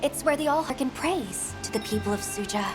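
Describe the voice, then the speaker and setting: A woman's voice: sits high, animated, fairly quick. An actress voicing a curious woman scholar in a game cutscene, cheerful and informative. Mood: wondering. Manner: bright, wondering, informative. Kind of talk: video game cutscene acting. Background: loud game score music.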